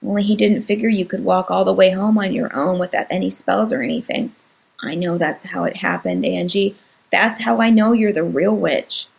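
A woman voicing an earnest, timid young boy who speaks quietly and slowly to his older sister. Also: mid-range voice, creaky, breathy edge, rough recording.

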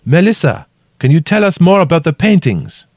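Anxious male companion man acting, high urgent shouts, projected, audiobook story, dry close sound. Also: animated, normal speed, no echo, natural voice.

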